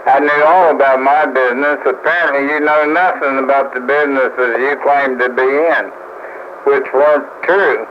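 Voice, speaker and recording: A man's voice: medium pitch, fairly even intonation, moderate pace. Guy on cb radio giving someone a hard time, blunt, dull muffled audio, loads of noise. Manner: needling, challenging, blunt. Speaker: CB radio conversation partner. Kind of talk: casual needling CB radio banter. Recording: noisy muffled CB radio tape.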